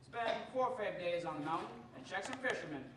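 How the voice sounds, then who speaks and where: A man's voice: sits high, expressive, fast talker. A young man playing a kindly, easygoing game warden father in a stage radio show. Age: young adult. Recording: echoey stage, distant mic, clear noise.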